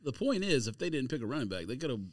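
A man's voice: medium pitch, big pitch swings, rapid-fire, dry recording, studio quality. A man co-hosting a podcast, arguing in casual, slangy sports banter with a contrarian streak. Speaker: podcast co-host.

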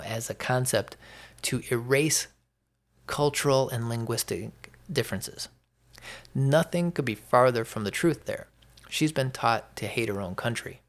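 An American man giving an unscripted opinion monologue as a podcast host, speaking emphatically and haltingly, often repeating phrases for effect. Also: mid-range voice.

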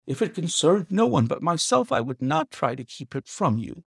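A frightened male butler, put on by a male reader in a high, strained voice that climbs far above the teller's own. He pleads and dodges, his lines loud and tight with fear. An audiobook figure voiced in a dry, close sound. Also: big pitch swings, moderate pace, creaky, husky, no room sound, exaggerated voice. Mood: pleading.

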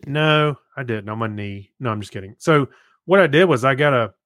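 Male podcast co-host, average pitch, casual and joking, very dry close recording. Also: lively intonation, brisk, a bit bright, dry recording. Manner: conversational, joking. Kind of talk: casual chat.

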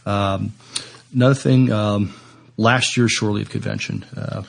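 A man co-hosting a casual podcast, talking in halting, hesitant phrases. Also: lowish voice, fairly even intonation, gravelly. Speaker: podcast co-host. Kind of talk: casual chat.

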